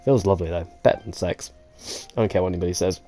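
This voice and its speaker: A woman's voice: extremely low pitch, racing, over music. A woman gamer giving casual, joking commentary while playing, swearing freely and laughing often. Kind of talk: casual let's-play commentary.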